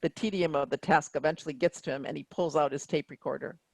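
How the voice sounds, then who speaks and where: A man's voice: fairly high pitch, fairly even intonation, quick pace, creaky, no echo, rough recording. A man reading stage directions as scripted narration for a play.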